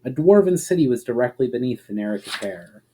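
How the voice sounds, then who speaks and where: Man reading as a proud, questioning elf hero, mellow. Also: mid-range voice, animated, fairly quick, a little hiss or hum, close to an everyday voice, low-quality recording. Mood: thoughtful.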